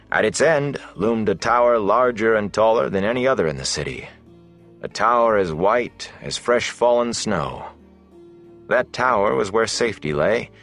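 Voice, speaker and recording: A man reading a fantasy audiobook as its narrator, in a measured, deliberate, dramatic storytelling delivery. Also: lowish voice, a bit bright.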